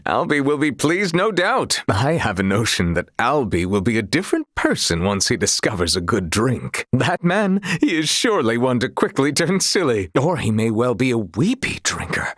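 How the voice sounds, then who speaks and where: An actor playing an earnest, chivalrous young knight in a video game, speaking formally and courteously with a husky, breathy voice. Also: male voice, mid-range voice, sing-song, moderate pace, hardly any pauses, fairly bright tone, no room sound, studio quality. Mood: amused, cheerful. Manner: formal, archaic, courtly phrasing.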